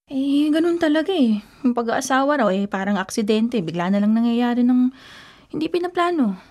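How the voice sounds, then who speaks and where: A woman's voice: fairly high pitch, lively intonation, normal speed, a little mellow, lightly acted. A woman playing a flippant, sassy younger sister in a radio drama, with an offhand, teasing way of talking. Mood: casual.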